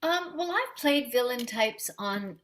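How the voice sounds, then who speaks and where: A woman with a light voice, talking warmly and unhurriedly as a guest in a casual interview. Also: a little high, lively intonation. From Canada. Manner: warm, friendly, unhurried. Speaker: voice actress guest.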